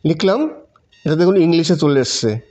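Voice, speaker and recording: A man's voice: fairly high pitch, animated, moderate pace, a little mellow. A Bengali man giving step-by-step tutorial narration in a repetitive, instructional manner. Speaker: tutorial presenter. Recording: dull, muffled lo-fi voice-over.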